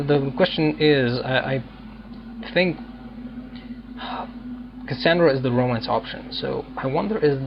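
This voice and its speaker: A man's voice: medium pitch, lo-fi sound, background noise. A man giving casual let's play commentary, musing and hesitantly thinking aloud. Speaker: gaming video host.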